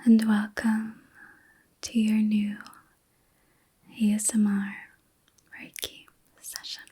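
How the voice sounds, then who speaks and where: A woman hosting an ASMR reiki session in a soft, breathy whisper, gentle and soothing, with unhurried pauses. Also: mid-range voice, monotone. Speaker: ASMR host and reiki practitioner.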